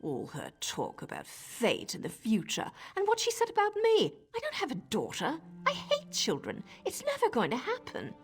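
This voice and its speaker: A woman voicing a blunt dark elf assassin. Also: extremely high pitch, huge pitch swings, moderate pace, few pauses, long reverb tail, heavily acted. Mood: scornful. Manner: blunt and scornful.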